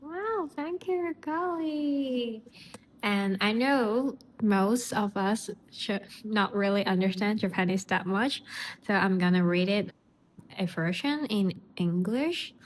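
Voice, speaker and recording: A woman from Hong Kong hosting a casual podcast, speaking in a friendly, unhurried way with loose phrasing and small slips. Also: mid-range voice, slight background noise. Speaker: podcast host.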